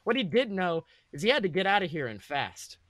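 Higher-pitched man reading a story aloud. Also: sing-song, brisk, a bit bright, no echo. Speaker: podcast host reading fan fiction aloud. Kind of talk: comedic story narration.

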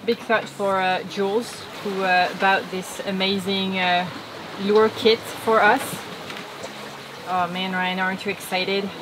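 A woman's voice: mid-range voice, flat delivery, takes it slowly. Playful woman on a noisy boat. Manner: playful, teasing, upbeat. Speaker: sailing vlog host. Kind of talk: casual chat on a boat. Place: small sailboat cabin at sea. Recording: loud boat and sea noise behind.

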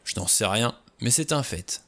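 A man's voice: medium pitch, lively intonation, moderate pace, fairly bright tone, lightly acted. A man reading an audiobook, voicing a stubborn, suspicious middle-aged man who talks bluntly. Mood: gruff.

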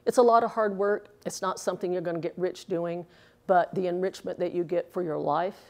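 Gravelly low-voiced woman in her sixties. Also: steady tone, brisk, darkish tone. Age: 60s. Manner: chatty, earnest, rambling with fillers. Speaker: interviewee, former pro bowler. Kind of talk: casual interview answers. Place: indoors in a bowling alley. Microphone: lapel mic.

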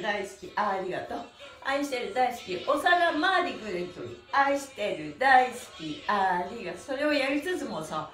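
A middle-aged woman leading a livestreamed stretching lesson in a cheerful, animated manner, with sing-song rhythmic cues, recorded in a small room a few steps from the camera. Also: on the high side. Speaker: stretching instructor and mime teacher. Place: small indoor room with bare walls. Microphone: camera a few steps away. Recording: roomy echo, faint music.

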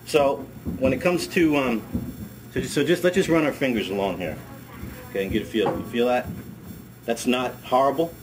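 A middle-aged man, a ski school director, demonstrating a hands-on task in a wood-paneled workshop, talking in a relaxed, chatty way and repeating words as he works. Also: mid-range voice, normal speed, pauses often, music in the background, low-quality recording. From United States. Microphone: camera mic on a workbench. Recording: camera audio with steady background noise.

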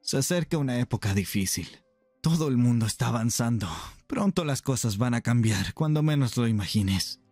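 A man's voice: medium pitch, expressive, normal speed, darkish tone, no room sound, lightly acted. A man playing an affectionate, playful and protective pirate captain in an anime roleplay, with music behind him. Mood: tender. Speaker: roleplay performer. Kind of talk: ASMR anime roleplay acting.

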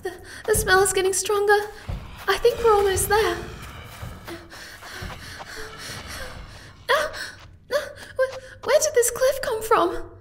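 A woman voicing a gentle, timid, caring young moth girl in an ASMR roleplay, soft-spoken and hesitant. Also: very high voice, moderate pace, slight background noise. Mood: panicked.

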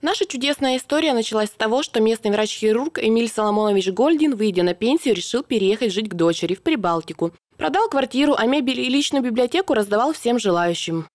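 Fairly high woman's voice, dry sound. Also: expressive, quick pace, few pauses, a little mellow, no room sound. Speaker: voice-over reader. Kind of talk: reading a written recollection aloud.

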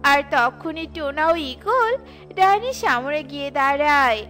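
A woman's voice: high-pitched, big pitch swings, unhurried, bright, forceful tone. A woman reading scripted narration for a cartoon story. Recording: music behind.

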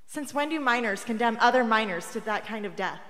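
A woman's voice: on the high side, animated, a little fast, a bit bright. A woman acting a defiant, war-weary teenage rebel girl in a radio play. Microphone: close studio broadcast microphone. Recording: long hall reverb.

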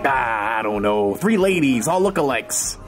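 A man playing a gruff, wary tavern bartender, brusque and informal, in an audio drama. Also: medium pitch, sing-song, unhurried, soft background music. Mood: matey, wary.

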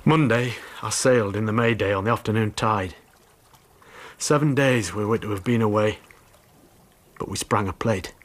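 An actor playing a guilt-ridden, jealous ship's steward making a bitter, haunted confession in a radio drama. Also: male voice, fairly low pitch, moderate pace, pauses often, lightly acted.

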